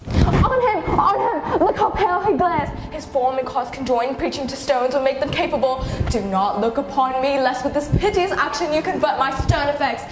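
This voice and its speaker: A woman's voice: high-pitched, normal speed, close to an everyday voice. A woman performing an anguished, accusing young prince in a declamatory, impassioned amateur Shakespeare reading. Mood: frantic, pleading.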